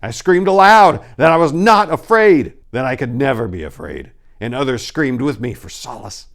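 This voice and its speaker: A man reading a horror tale dramatically as a haunted, dread-filled narrator, theatrical and ominous with drawn-out emphasis. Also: mid-range voice, sing-song, gravelly, fairly bright tone, pitched above the performer's own voice. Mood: frantic defiance.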